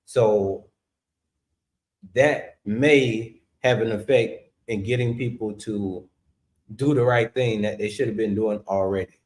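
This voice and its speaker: Hesitant mid-pitched man explaining. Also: fairly even intonation, slow pace, a little mellow. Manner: hesitant, many fillers. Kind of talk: explaining viewpoint.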